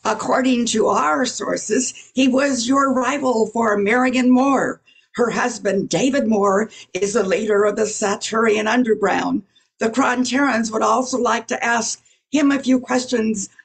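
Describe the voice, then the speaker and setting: A woman's voice: fairly high pitch, fairly even intonation, measured pace, gravelly, breathy edge, heavily acted. A woman playing a huge, stolid alien police inspector with formal speech in an amateur radio play. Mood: businesslike. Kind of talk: amateur old-time radio play acting.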